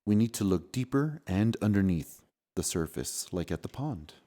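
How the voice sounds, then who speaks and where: A man's voice: on the low side, animated, normal speed, warm tone. A man reading a storybook aloud and chatting with children as their father, with an encouraging, patient manner.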